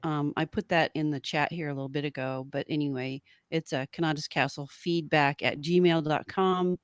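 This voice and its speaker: Woman podcaster, low dry voice. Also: fairly even intonation, moderate pace, smooth, no echo. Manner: giggly, rambling, informal. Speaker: podcast host. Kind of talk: casual live-stream chat.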